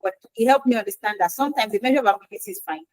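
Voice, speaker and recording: Woman, quick speech, dry close sound, lively storytelling. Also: a little high, no room sound, low-quality recording. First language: Yoruba. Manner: lively, chatty storytelling with acted-out quotes. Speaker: guest expert in quality assurance. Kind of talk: AMA interview answer.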